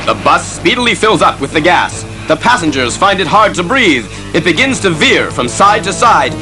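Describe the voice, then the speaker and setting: A man's voice: sits high, sing-song, moderate pace. A man narrating a superhero audio drama in a grand, suspenseful, declamatory manner. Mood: urgent, dramatic. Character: dramatic male storyteller of superhero adventures. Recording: cassette audio with music behind.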